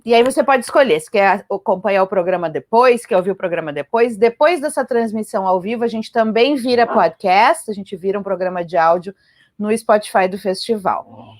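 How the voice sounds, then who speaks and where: A woman presents a program conversationally. Her voice sits fairly high, and the sound is close and dry. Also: dry recording. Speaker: program host, journalist. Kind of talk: presenting a live online festival program, conversational.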